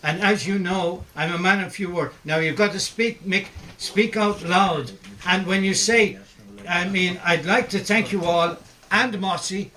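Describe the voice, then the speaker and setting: A man's voice: high-pitched, measured pace, pushed and bright, poor audio. A man directing actors in a recording session, bluntly giving short instructions. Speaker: radio drama director.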